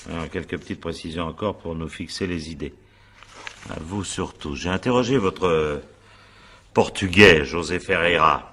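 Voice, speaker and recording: An actor playing an examining magistrate in a French radio crime drama, probing and insistent, with a husky voice and pointed tag questions. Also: male voice, sits low, normal speed, bright, forceful tone, full character voice. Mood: probing, measured.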